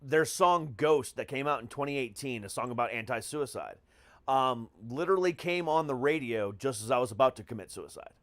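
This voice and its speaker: A man's voice: mid-range voice, expressive, a little fast, fairly bright tone, no echo. Man, podcast host, casual chat, profane and emphatic, slangy, very dry sound.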